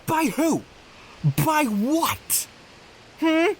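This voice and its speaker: A man plays a young male animal in an animated drama, a doubting nephew with a high voice. His pitch moves around a lot as he questions everything in a wary, sardonic way. There is faint noise in the background. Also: very slow, full character voice. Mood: challenging, wary. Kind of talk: dramatic fandub dialogue.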